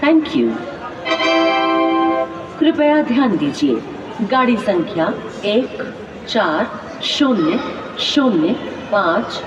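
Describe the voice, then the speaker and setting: A woman with an Indian accent reading formal, segmented pre-recorded railway announcements over a public address loudspeaker. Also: on the high side, distant mic. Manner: formal, measured, segmented phrasing. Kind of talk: pre-recorded scripted public announcement, pieced together from phrases. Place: busy railway station, loudspeaker heard from afar. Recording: echoey, dull station PA with crowd noise.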